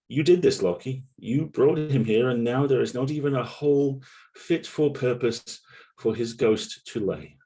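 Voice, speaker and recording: A deep-voiced, haunted narrator, acted by a man, tells a dark audio drama in measured, literary prose. The voice is very low with a creaky, gravelly edge, solemn and brooding throughout. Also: poor audio. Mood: accusing. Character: brooding, guilt-haunted male narrator addressing a killer. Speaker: voice actor at a table read.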